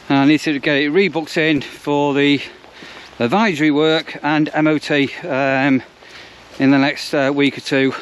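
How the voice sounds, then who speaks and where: A man's voice: a little high, slight background noise. A man with an English accent talking casually in a rambling way, as if narrating his day for a vlog. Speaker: vlogger. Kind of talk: casual vlog chat to camera.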